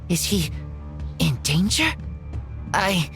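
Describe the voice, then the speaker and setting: An actor playing a wild youth raised by wolves who speaks in broken, halting, hesitant words in a video game. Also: male voice, sits high, big pitch swings, over music, exaggerated voice. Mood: worried.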